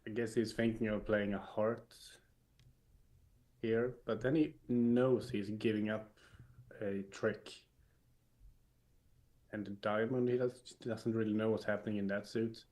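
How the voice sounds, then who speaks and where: A man's voice: lowish voice, steady tone, unhurried, pauses often, a little mellow. Brief: male Swedish-native co-commentator, thoughtful and explanatory. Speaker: bridge expert co-commentator. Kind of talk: analytical live commentary.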